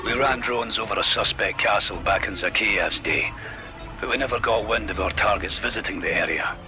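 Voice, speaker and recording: Guy as older scottish officer in a war game, lowish gruff voice, fatherly, measured, music under it. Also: close to an everyday voice. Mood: sober, guarded. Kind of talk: dramatic video game acting.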